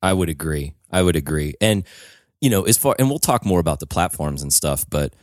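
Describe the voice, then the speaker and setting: A man hosting a podcast who tells rambling, animated stories full of "like"s. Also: low voice, a little fast, no room sound, clean studio sound. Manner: rambling, animated storytelling with many "like"s. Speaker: podcast host. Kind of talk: casual podcast chat.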